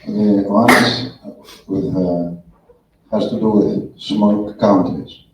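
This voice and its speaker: A man from Greece with a Greek accent, asking a halting question from the audience at a conference, recorded from far off in a hall. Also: lowish voice, steady tone, very noisy. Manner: halting, many fillers and restarts. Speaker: audience member. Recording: roomy hall, far from the mic, dull.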